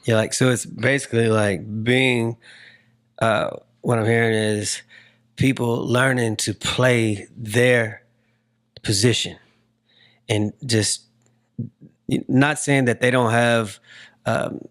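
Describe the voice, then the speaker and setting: A man's voice: medium pitch, fairly even intonation, slow pace, long pauses, a bit bright. A man in his forties hosting a casual interview, circling toward his point with repeated phrases. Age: 40s. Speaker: podcast host interviewing a guest.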